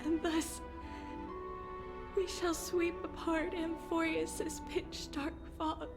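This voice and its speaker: A solemn young holy heroine from a video game, tender and grieving. The voice is high and slow, measured over music. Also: female voice, little pitch movement, full character voice.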